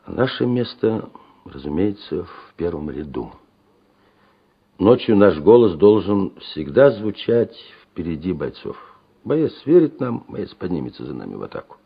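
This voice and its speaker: An actor playing a resolute, commanding army major in a Soviet radio drama, speaking in an emphatic, oratorical way with a husky voice. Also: male voice, sits low, sing-song, measured pace, full character voice. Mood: rousing, firm. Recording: dull, lo-fi old radio recording.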